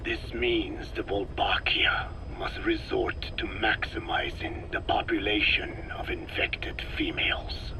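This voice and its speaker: Old frail scientist in a video game, whispery low raspy voice, slow n precise, very grave. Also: male voice, level tone, poor audio. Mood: patient, explaining. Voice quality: breathy, whispering, weak rasp. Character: frail old male scientist explaining his work, measured and grave. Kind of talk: dramatic game voice acting, expository dialogue.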